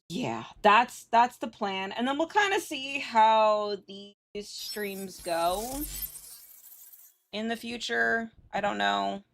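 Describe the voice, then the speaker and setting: A woman's voice: mid-range voice, extremely slow, gravelly, a bit bright. A woman livestreamer chatting casually while gaming, informal and sweary.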